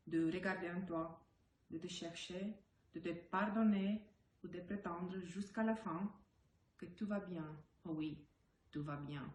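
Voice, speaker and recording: A woman recites poetry in a lowish voice, measured and phrase by phrase. The sound is clearly reverberant, with faint noise in the background. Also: darkish tone. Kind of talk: poetry reading.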